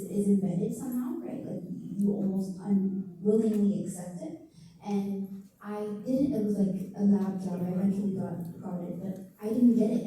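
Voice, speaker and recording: A woman from Ethiopia with a very dark, mellow tone talks earnestly, using many fillers. She sits far from the mic in a strongly echoing room with faint noise. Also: mid-range voice. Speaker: panel participant. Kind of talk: discussion panel. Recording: echoey room, distant mic.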